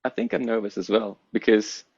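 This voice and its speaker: A man in his thirties from Singapore, co-hosting a casual podcast chat, playful and quick to laugh as he asks questions. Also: lowish voice, very animated, creaky, a little mellow, husky, dry recording, highs cut off. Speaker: podcast co-host.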